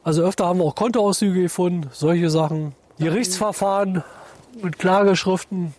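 A man's voice: high voice, steady tone, moderate pace, darkish tone. A man from the forestry office explaining things in an interview outdoors in the forest, often filling his talk with "praktisch" and "äh". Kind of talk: on-camera interview.

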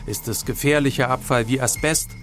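A man narrating a television news report in a measured, even tone. His voice sits at a middle pitch, with a clear music bed playing beneath the narration. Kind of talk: scripted TV report voice-over.

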